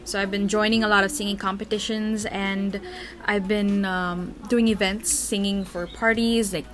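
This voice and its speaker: A woman's voice: mid-range voice, flat delivery, normal speed, a bit bright. Chatty young Filipino woman. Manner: chatty, reads questions aloud and answers at length. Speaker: blogger answering fan questions. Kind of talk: casual Q&A vlog.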